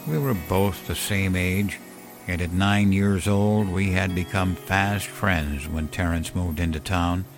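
A man narrating a scripted first-person story in a measured, solemn, reflective way, as on a fiction podcast. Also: sits low, fairly even intonation. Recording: music behind the voice. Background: clear music bed.

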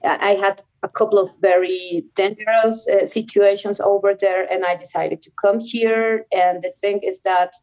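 A woman's voice: medium pitch, fairly even intonation, moderate pace, few pauses, darkish tone, low-quality recording. Young venezuelan woman guest, spanish native, casual chat in english, laughs a lot. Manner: laughs often, restarts sentences in careful non-native English. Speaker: guest, rock climber.

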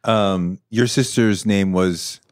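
A man hosting a podcast interview who asks short, gentle questions. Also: fairly low pitch, slow, background noise. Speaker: podcast host.

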